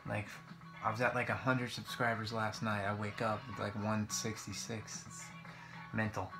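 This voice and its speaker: Young guy with a low, pretty even voice, rambling through a game video, guitar music behind him. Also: normal speed. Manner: hesitant, rambling, informal. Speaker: gaming video creator. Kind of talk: casual gameplay commentary.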